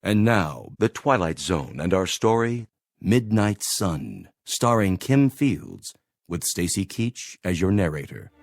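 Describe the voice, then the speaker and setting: A man's voice: sits low, lively intonation, slow pace, creaky. A man giving a dramatic, scripted programme announcement as an announcer.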